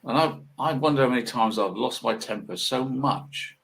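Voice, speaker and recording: A man in his sixties giving a casual, rambling monologue, musing haltingly and asking rhetorical questions. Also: medium pitch, gravelly, a bit bright, a little hiss or hum. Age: 60s. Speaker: video monologue host.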